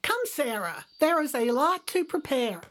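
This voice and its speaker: A woman's voice: high-pitched, big pitch swings, a little slow, fairly bright tone, full character voice. A woman playing a kindly, welcoming mother in a religious audio drama, with a gracious, motherly manner. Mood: warm, delighted. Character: kindly mother welcoming her new daughter-in-law.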